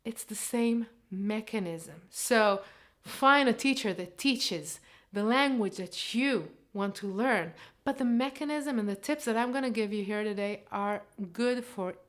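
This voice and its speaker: A woman's voice: mid-range voice, measured pace, a bit bright. Israeli woman teacher talking to camera. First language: Hebrew. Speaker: Hebrew teacher. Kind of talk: casual vlog talk to camera. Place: living room set.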